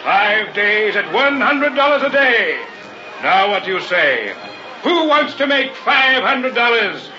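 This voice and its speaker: Sinister showman hypnotist, theatrical and high-voiced, for a radio chiller; muffled vintage sound over music. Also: very animated, takes it slowly, bright, forceful tone, full character voice. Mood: showy, coaxing. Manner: theatrical barker's patter. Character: sinister carnival hypnotist professor, smooth, pushy showman. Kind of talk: old-time radio horror acting.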